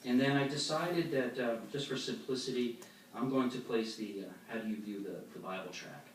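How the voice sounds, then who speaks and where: A man's voice: mid-range voice, normal speed. A man giving an instructional religious talk to a congregation in a plain-spoken, explanatory way, reading out anecdotes as he goes. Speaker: congregation speaker giving a demonstration. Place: echoey meeting hall before an audience. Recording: echoey hall, distant mic, faint noise.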